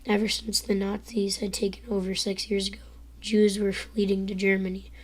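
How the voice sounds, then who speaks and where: A teenage girl with a hoarse, worn-out voice reading a novel aloud live, whispering and stumbling over words as she goes. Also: mid-range voice, little pitch movement, moderate pace. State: hoarse, lost voice.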